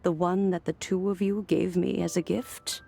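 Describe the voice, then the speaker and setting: A woman voicing a wise, ancient and serene elderly immortal in a video game, talking in a measured, reflective storytelling way. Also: on the low side, level tone, creaky, dark tone. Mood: wistful. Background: music.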